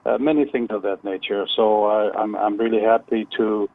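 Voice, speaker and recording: A man's voice: on the low side, steady tone, moderate pace. Older man on a muffled phone line. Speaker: phone-in guest, retired contractor. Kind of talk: casual radio phone interview.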